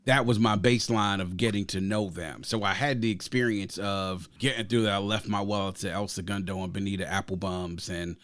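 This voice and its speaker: A man's voice: fairly low pitch, steady tone, brisk, few pauses, fairly bright tone, no room sound. A man hosting a music podcast, giving an emphatic, conversational review and often checking in with the listener.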